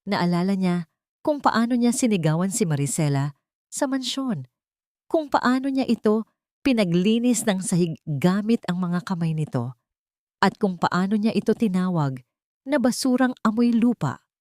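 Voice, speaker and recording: A woman's voice: medium pitch, animated, normal speed, dark tone, no room sound, clean studio sound. A woman reading a scripted dramatic story as its narrator.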